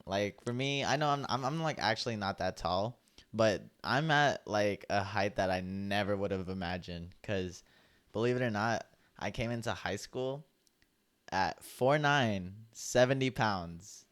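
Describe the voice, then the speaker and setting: A young man chatting casually on a podcast, his voice low-pitched and smooth. He rambles through stories at an easy pace, filling the gaps with "like" and "um.". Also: a little slow, a bit bright. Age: young adult. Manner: laid-back storytelling, heavy use of "like". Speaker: podcast co-host. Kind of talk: casual chat.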